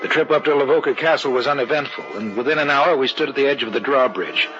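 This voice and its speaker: Actor as forceful, confident American husband. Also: male voice, medium pitch, fast talker, soft background music, natural voice. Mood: narrating gravely. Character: stubborn, sceptical American husband recounting a horror tale. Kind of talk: 1940s-50s radio drama acting and narration. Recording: dull hissy old radio recording.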